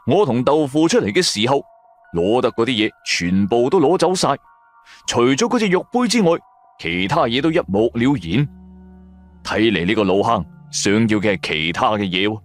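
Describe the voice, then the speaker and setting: Lively Cantonese man narrating an audio drama. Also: mid-range voice, normal speed, faint music behind. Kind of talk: dramatic first-person storytelling.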